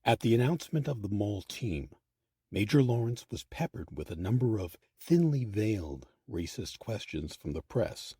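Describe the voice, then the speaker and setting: A man's voice: lowish voice, sing-song, moderate pace, dark tone, slightly rough, dry recording, clean studio sound. A man delivering scripted narration for a history documentary.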